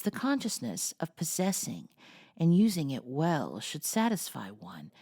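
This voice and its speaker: A principled storybook mother, acted out by a woman reader, gentle yet firm. She moralizes in measured phrases with a lively rise and fall. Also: deep voice, breathy edge, pitched above the performer's own voice, full character voice. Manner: measured, moralizing, kindly stern.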